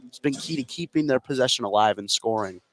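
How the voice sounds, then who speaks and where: A man's voice: mid-range voice, quick pace, a crowd in the background. A man giving casual, explanatory color commentary during a live basketball game. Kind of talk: live basketball analysis, conversational.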